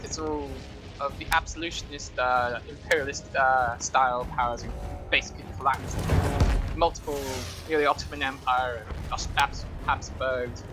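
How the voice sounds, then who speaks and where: A man's voice: medium pitch, fairly even intonation, moderate pace, over music. A man talking casually and explaining things as a guest commentator over gameplay.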